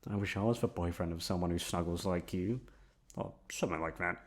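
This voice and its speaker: A man plays a young male best friend in an ASMR roleplay, hopelessly and obsessively in love. His voice sits fairly low. He talks intimately to the listener in a hesitant, nervous ramble, circling around what he really means. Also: a little fast, natural voice. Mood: lovesick, intense.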